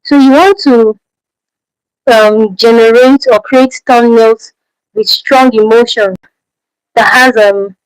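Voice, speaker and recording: A woman's voice: fairly high pitch, steady tone, slow pace, long pauses, clear, resonant tone, no echo, poor audio. Nigerian woman hosting a livestream Q&A. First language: Efik. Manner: repeats key points, asks rhetorical questions. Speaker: channel host. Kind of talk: livestream Q&A advice.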